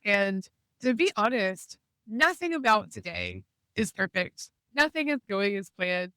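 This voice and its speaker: A woman hosts a podcast in an earnest, encouraging tone, her voice a little congested from a cold. The sound is close and dry, with no room echo, and she speaks from inside a car. Her tone stays smooth and clear despite the congestion. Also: mid-range voice, very animated, measured pace, sharp tone. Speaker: podcast host. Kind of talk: casual podcast monologue. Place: in a car while driving.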